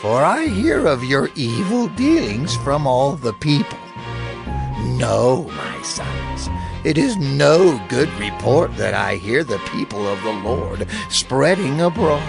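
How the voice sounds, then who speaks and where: An actor playing a very old priest and grieved father, speaking slowly and solemnly in a dramatized scripture reading, pleading as he goes. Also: mid-range voice, sing-song, creaky, music in the background, heavily acted. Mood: grieved rebuke. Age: older.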